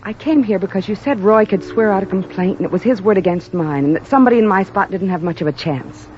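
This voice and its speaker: A woman's voice: mid-range voice, animated, quick pace, darkish tone, dull audio, slight background noise, close to an everyday voice. An actress playing a frightened young woman dancer in an old radio drama, pleading and defensive. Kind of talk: 1940s radio acting.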